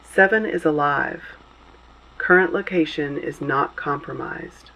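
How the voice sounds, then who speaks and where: A performer playing a guarded, commanding resistance radio host in a dystopian audio drama, giving terse instructions in a measured, solemn way. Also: mid-range voice, gravelly, sharp tone. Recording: dull, band-limited broadcast sound.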